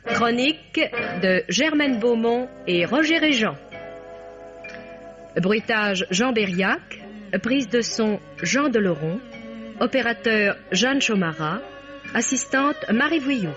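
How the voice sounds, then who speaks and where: A woman's voice: a little high, animated, slow pace, a bit bright. A woman formally announcing a programme and its credits in the scripted style of 1950s French radio. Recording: dull vintage radio recording. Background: music.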